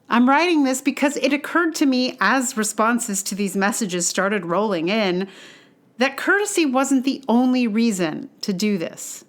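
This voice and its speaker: A woman's voice: mid-range voice, a bit bright. A woman with a mid-range voice talks like a podcast host sharing a personal essay. Her delivery is conversational and wry, with a self-deprecating touch. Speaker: podcast host and audio drama producer. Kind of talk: personal essay read aloud, then casual aside.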